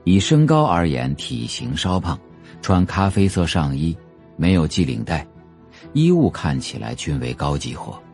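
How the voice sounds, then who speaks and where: Male narrator for audio fiction: expressive range, measured and atmospheric, soft music underneath. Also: low-pitched, gravelly. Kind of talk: scripted audio drama narration of a novel.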